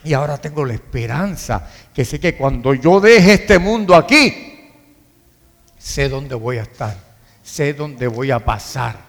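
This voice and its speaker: A man's voice: mid-range voice, sing-song, unhurried. A man with a Dominican accent preaching as a pastor, emphatic yet conversational, repeating phrases for emphasis. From Dominican Republic. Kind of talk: sermon. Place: large reverberant room, church service. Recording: hall reverb.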